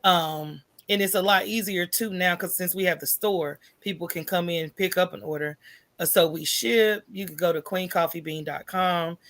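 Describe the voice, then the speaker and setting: A woman's voice: fairly low pitch, moderate pace, fairly bright tone. An American woman speaking as a podcast guest in a casual, colloquial way, often checking in with the listener as she explains. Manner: informal, colloquial, checks in with "right?" and "you know". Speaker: podcast guest, coffee business owner. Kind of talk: casual conversational interview answers.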